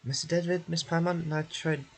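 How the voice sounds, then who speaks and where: A man's voice: a little high, fairly even intonation, fairly quick, voice pushed up. A man voicing an innocent, trusting little child in a video game, polite and wide-eyed. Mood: eager.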